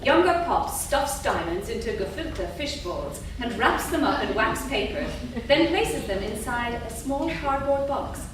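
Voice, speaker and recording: A woman's voice: fairly high pitch, animated, a little slow, hardly any pauses. A woman reading stage directions as scripted narration at a staged play reading in a theatre. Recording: echoey theatre, distant mic, background noise.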